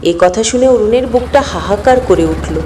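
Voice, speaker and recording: A woman's voice: on the high side, lively intonation, moderate pace, dark tone, slight background noise. A woman narrating a scripted moral story in an earnest, dramatic storytelling manner. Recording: long hall-like reverb.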